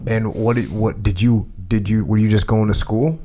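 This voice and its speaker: A man's voice: on the low side, steady tone, normal speed, mellow. A man hosting a podcast, asking a guest plain, patient interview questions. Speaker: podcast host.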